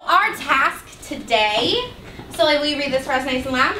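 A young woman teaching a class, giving clear directions and prompting students with questions. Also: sits high, very animated, normal speed, distant mic. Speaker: math teacher. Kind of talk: classroom instruction to children. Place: indoor school classroom with students. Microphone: distant camera microphone. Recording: roomy, noisy classroom, picked up from afar.

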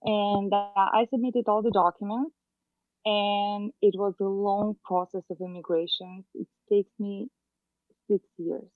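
A woman's voice: medium pitch, fairly even intonation, measured pace, no echo. A woman in her thirties from Moldova with a Russian accent, a realtor talking through a video call interview in a chatty, hesitant way with many fillers. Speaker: guest realtor. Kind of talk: casual interview. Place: home room, indoors. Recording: dry tinny video call audio.

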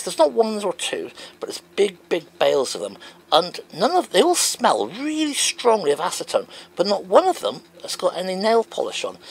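A man's voice: high voice, gravelly, slightly rough, poor audio. A man reading out comments and answering them in an emphatic, wondering way. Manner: animated, wondering aloud, emphatic.

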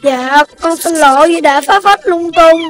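A woman's voice: extremely high pitch, measured pace. A woman voicing a mischievous young animal child in a children's cartoon, with a childlike way of talking. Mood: sheepish. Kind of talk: Vietnamese children's cartoon dubbing.

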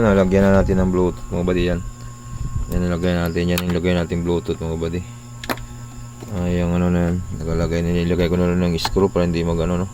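Low-voiced man, noisy background. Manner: informal explaining, often seeking agreement with short tag questions. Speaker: electronics repair vlogger. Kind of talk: casual hands-on repair tutorial.

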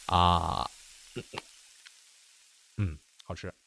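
A man playing a caring, reserved boyfriend in an audio drama, speaking in a gentle, low-key way. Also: level tone, very slow, creaky, full character voice. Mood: mild. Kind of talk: Chinese audio drama acting.

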